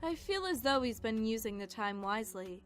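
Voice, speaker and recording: Woman playing a high-voiced, composed noblewoman. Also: big pitch swings, moderate pace. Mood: defensive. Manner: formal, polite, condescending. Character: haughty, composed noblewoman, coolly condescending. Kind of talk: dramatic audio drama acting. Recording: music under the voice.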